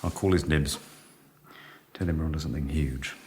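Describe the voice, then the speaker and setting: Low-voiced, driven research team boss. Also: male voice, fairly even intonation, fairly quick, darkish tone, slight background noise. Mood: brisk, commanding. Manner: bossy, brusque. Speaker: actor in a radio drama. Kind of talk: naturalistic radio drama dialogue.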